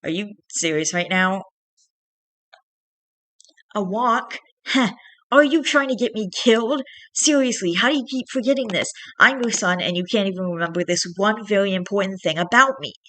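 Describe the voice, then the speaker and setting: A woman's voice: medium pitch, slow pace, pauses often, pushed and bright, dry recording. A woman playing a bitter, wounded young man, sarcastic and exasperated, in a story read aloud. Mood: angry, exasperated.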